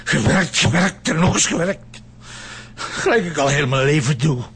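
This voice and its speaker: An actor playing a gruff, grieving old Flemish grandfather and farmer in a radio drama, speaking tersely. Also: a little high, animated, moderate pace, a bit bright, exaggerated voice. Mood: curt, defensive. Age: older, grandfather. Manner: terse, repeating words for emphasis.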